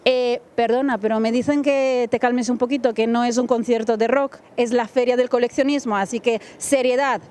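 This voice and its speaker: A woman's voice: fairly high pitch, fairly even intonation, moderate pace, hardly any pauses, a little mellow. A young woman reporting playfully on location for local TV with a handheld mic. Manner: bubbly, teasing. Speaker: field reporter. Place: large indoor exhibition hall.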